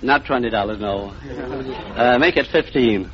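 A man's voice: medium pitch, normal speed, crowd noise. A middle-aged man answering as a quiz contestant, plain-spoken and a bit hesitant. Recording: dull, faintly noisy old radio recording.

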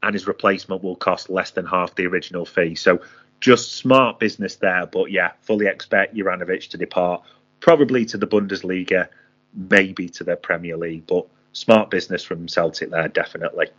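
A man's voice: deep voice, fairly even intonation, brisk, fairly bright tone, lo-fi sound. A man co-hosting a casual football podcast who talks in a guarded way and hedges his predictions. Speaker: podcast co-host, transfer pundit.

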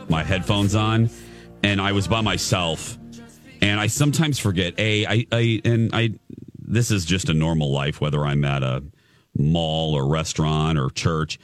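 A male radio host with a low-set voice who projects every line like he's on stage. His banter is loose and theatrical. A soft music bed plays quietly underneath. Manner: loud, projecting, theatrical talker. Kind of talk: casual morning show banter. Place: studio.

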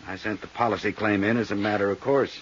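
A man playing a big, easygoing American accountant in his late forties in a 1950s radio drama, husky and chatty, with a folksy, rambling manner. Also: fairly low pitch, steady tone, fast, slight background noise, lightly acted. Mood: chatty, explaining. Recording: dull, muffled 1950s radio recording.

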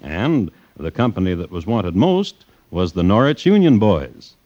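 A man narrating a scripted old-time radio drama as a storyteller, measured and wry. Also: low-pitched, big pitch swings, warm tone, dry recording. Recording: muffled old radio recording.

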